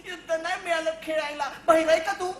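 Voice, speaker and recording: An actor playing a naive, excitable young male soldier in a stage comedy, eager for war and often repeating his words. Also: very high voice, quick pace. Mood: eager, childish. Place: theatre stage. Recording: echoey, noisy stage recording.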